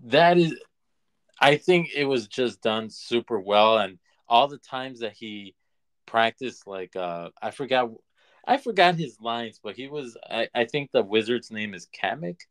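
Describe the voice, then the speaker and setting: A man co-hosting a podcast in casual conversation, opinionated and halting as he restarts his points. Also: mid-range voice, expressive, fairly bright tone. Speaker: podcast co-host. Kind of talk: casual chat.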